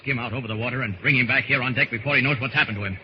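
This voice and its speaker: An actor playing a confident, resolute superhero thinking aloud, in the manner of 1940s radio drama. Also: male voice, mid-range voice, racing, close to an everyday voice. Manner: declarative, resolute soliloquy. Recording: muffled noisy old radio recording.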